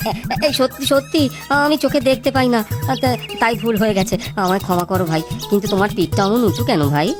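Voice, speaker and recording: A woman voicing a humble blind outcast in a children's folktale cartoon, halting and pleading, repeating words. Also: mid-range voice, lightly acted. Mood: weary. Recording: music under the voice.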